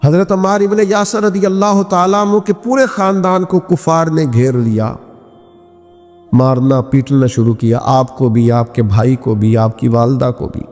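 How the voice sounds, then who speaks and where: A man's voice: mid-range voice, steady tone, normal speed, darkish tone. A man delivering an impassioned Islamic sermon as a preacher, with emphatic repetition, rhetorical questions and recited verse. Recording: long hall reverb with music behind.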